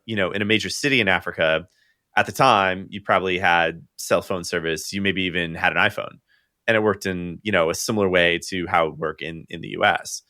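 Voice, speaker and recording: A man's voice: sits low, sharp tone, no echo, clean studio sound. A man hosting a podcast, reflecting and telling a story with frequent fillers. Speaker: podcast host. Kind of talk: conversational podcast interview.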